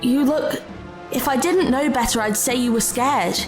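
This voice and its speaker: An actress playing a determined, practical young adventurer in an audio drama, earnest and inquisitive. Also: female voice, sits high, moderate pace, over music, natural voice. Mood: puzzled.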